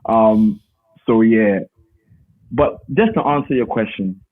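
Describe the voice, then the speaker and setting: A man's voice: on the low side, takes it slowly. A man, talking casually and emphatically as an interview guest on a call. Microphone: remote call. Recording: thin phone-like call audio.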